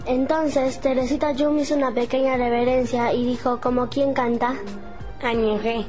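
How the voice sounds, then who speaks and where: A woman narrating a children's radio play in an expressive storytelling manner. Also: high voice, moderate pace, faint music behind. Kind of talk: storybook reading.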